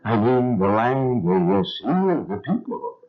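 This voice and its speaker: An actor playing an aged, gentle, weary father in a biblical audio drama, hesitant in his speech. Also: mid-range voice, big pitch swings, unhurried. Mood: weary, wistful. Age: old. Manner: hesitant, rambling, with repeated words. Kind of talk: biblical radio drama acting. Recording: dull lo-fi recording.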